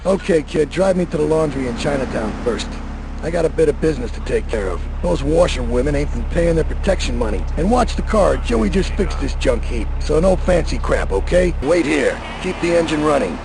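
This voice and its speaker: An actor playing a tough, hot-tempered mafia capo in a video game, gruff and blustering like a bossy wise guy. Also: on the high side, expressive, a little fast, noisy background. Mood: bossy, impatient. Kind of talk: video game cutscene acting.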